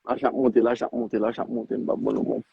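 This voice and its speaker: A man's voice: medium pitch, little pitch movement, fast, gravelly, husky, dry recording, dull audio. A man in his twenties, a guest on a live interview, chatting casually and rambling with many restarts. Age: 20s. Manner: rambling, switches between Creole and French with many restarts. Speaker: guest, agriculture founder.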